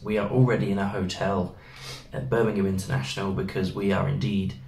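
A man's voice: sits low, flat delivery, a little fast, a little mellow, slight background noise. A man, chatting casually as a travel vlogger in a small hotel room. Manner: chatty, rambling with fillers. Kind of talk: casual vlog chat. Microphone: camera in front of seated pair. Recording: echoey room, mic at a distance.

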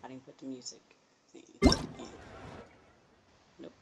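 A young woman gives casual reaction commentary as a gaming streamer, recorded at home with heavy noise. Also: unhurried.

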